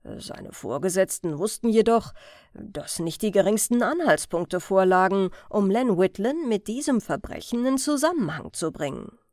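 A woman's voice: medium pitch, animated, normal speed, a little mellow, dry recording, studio quality. A woman narrating a crime story as an audiobook reader, in a scripted reading style.

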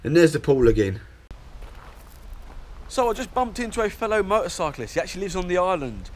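A man's voice: high-pitched, sing-song, brisk. A man in his forties giving a chatty, down-to-earth travel vlog, filmed on an action camera held at arm's length outdoors. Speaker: motorcycle vlogger. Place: outdoors, hotel grounds and ferry deck. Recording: outdoor camera with clear background noise.

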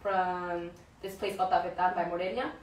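A woman's voice: fairly low pitch, fairly even intonation, a little fast, slight background noise. A young woman talking casually as an interview guest, full of fillers and searching for words. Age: young adult. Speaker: guest, pro soccer player. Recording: roomy, distant mic, faint ambience.

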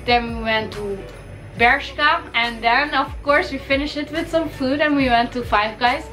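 A woman's voice: high voice, unhurried, bright, forceful tone, faint music behind, poor audio. Chatty young woman with a cold. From Caribbean. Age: young adult. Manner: chatty and upbeat, gushing. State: has a cold, voice may crack. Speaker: fashion vlogger. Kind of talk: casual shopping haul vlog. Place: bedroom, indoors.

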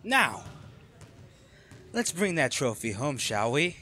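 A man's voice: medium pitch, sing-song, slow, background noise. A man playing a cocky college volleyball captain. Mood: rallying. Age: young adult. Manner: laid-back, laughs often, swears casually. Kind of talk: audio roleplay to a listener.

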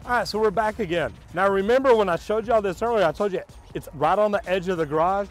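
A man's voice: high voice, fast talker, soft background music. Man, middle-aged, plumber host, folksy explainer, outdoor job site, lapel mic. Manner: folksy, explanatory, addresses viewers directly. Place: outdoors at a building site on a concrete slab.

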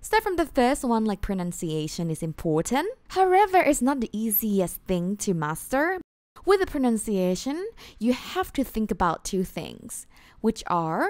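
A woman's voice: medium pitch, no room sound. Woman, Thai accent, casual language teacher, lots of fillers, dry close sound. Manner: chatty, lots of "you know" and "like" fillers; slows down to spell out terms, switches into Thai for examples. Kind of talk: casual podcast lesson.

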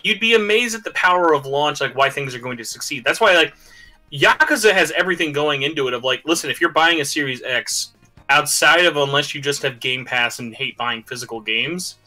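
Loud, animated man talking games. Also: fairly high pitch, brisk, soft background music, rough recording. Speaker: podcast guest. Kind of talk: casual gaming chat.

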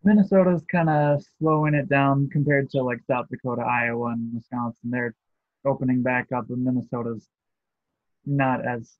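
A young man speaking as a guest on a video call, easygoing and quick to laugh. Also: mid-range voice, fairly even intonation, no echo. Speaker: guest, shop co-owner. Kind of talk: casual video call interview. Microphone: shared video call. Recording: tinny phone-like call audio.

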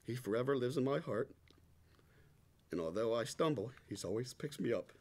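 A man's voice: medium pitch, expressive, normal speed, a little mellow. A middle-aged man nervously giving a prepared testimony of thanks at a church lectern. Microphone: stand microphone. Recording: noisy church hall recording.

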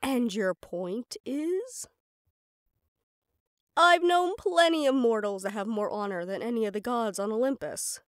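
A woman's voice: fairly high pitch, lively intonation, measured pace, dry recording, studio quality. A woman playing a stubborn, sarcastic young goddess in an audio roleplay drama, teasing and defiant.

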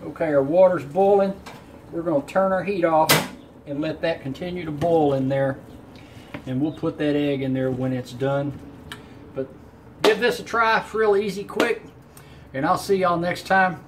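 A man, a home cook casually talking through a recipe in a folksy, unhurried way. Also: a little high. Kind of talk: casual cooking demonstration. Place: home kitchen, indoors. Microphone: camera on counter a short way off. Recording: clear room noise in a kitchen.

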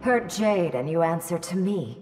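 A woman's voice: fairly low pitch, expressive, measured pace, darkish tone, distant mic, slight background noise. An actress playing a protective, commanding female warrior in a fighting game. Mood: firm defiance. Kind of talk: fighting game character dialogue.

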